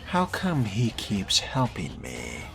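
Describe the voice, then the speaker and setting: A man playing a newly turned vampire in a video game role-play, guarded and terse, with a measured, questioning manner. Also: on the low side, huge pitch swings, music in the background, exaggerated voice.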